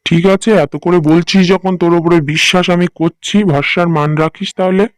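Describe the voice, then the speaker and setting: Man as a hungry, bossy high-voiced wolf. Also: fairly even intonation, brisk, clean tone, no room sound, natural voice. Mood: grudging. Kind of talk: cartoon voice acting.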